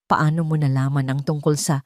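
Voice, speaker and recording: A woman's voice: low voice, little pitch movement, brisk, darkish tone, no echo, studio quality, natural voice. A woman voicing an anxious, evasive young husband who tries to placate others in an audio drama. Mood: startled. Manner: placating, uneasy.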